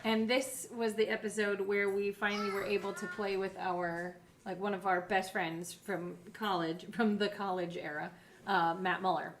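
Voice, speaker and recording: A woman's voice: medium pitch, flat delivery, moderate pace, a little hiss or hum. A woman co-hosting a podcast in a casual, chatty style. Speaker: co-host of a behind-the-scenes podcast. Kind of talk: casual chat, reading episode blurbs aloud.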